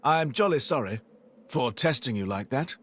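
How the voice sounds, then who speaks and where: A man's voice: mid-range voice, lively intonation, moderate pace, heavy background noise, close to an everyday voice. A man voicing a cocky, flamboyant crown prince and swordsman in a video game, boastful, teasing and theatrical. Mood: breezy. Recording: loud music under dialogue.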